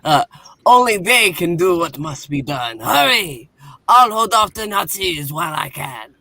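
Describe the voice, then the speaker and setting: A man's voice: high voice, very animated, measured pace, sharp tone, no room sound, full character voice. A man voicing wartime resistance fighters and a commando officer with a put-on French accent, declaiming theatrically. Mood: urgent.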